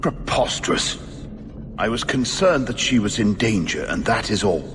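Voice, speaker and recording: A man's voice: on the low side, animated, normal speed, big-room sound, music in the background, natural voice. A man playing a stern, dignified older knight in a video game, earnest, formal and clipped in speech. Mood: affronted. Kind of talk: dramatic video game dialogue.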